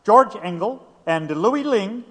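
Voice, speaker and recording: A man's voice: high voice, animated, slow, fairly bright tone, heavily acted. An actor playing a jury foreman in an audio drama, formally declaiming a verdict. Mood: solemn, formal. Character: tense male jury foreman announcing a verdict. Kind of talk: dramatized courtroom announcement. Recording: long hall-like reverb.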